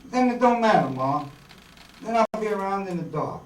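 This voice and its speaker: A man's voice: high voice, lively intonation, normal speed. A man playing an earnest, idealistic young farm-born outlaw in folksy rural dialect, in a staged radio drama reading. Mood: earnest conviction. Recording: roomy hall, distant mic, faint noise.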